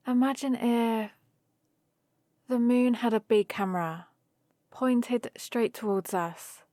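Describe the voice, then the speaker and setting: Young woman hero, played by a woman, ASMR roleplay, slow delivery, fond, playful, teasing, intimate, dry close-up sound. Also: on the high side, darkish tone, no room sound. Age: young adult. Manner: teasing, unhurried, intimate one-sided conversation. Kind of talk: ASMR roleplay acting, addressed to the listener. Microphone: close studio-style microphone, dry.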